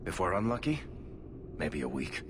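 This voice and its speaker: An actor playing a wry, easygoing roguish dwarf in a video game, with a dry, casual, joking delivery. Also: male voice, deep voice, sing-song, moderate pace. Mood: dry humor. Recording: noisy game ambience.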